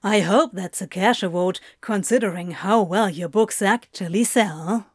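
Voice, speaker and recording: A woman's voice: mid-range voice, unhurried, breathy edge, no room sound. A woman voicing a sardonic male author with a dry, drawling, mocking manner, as in an audiobook story. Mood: dry mockery.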